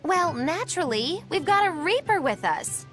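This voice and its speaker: A woman's voice: extremely high pitch, big pitch swings, moderate pace, a bit bright, soft background music, full character voice. A woman voicing an outspoken young woman in a dramatic anime-style fantasy game. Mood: matter-of-fact.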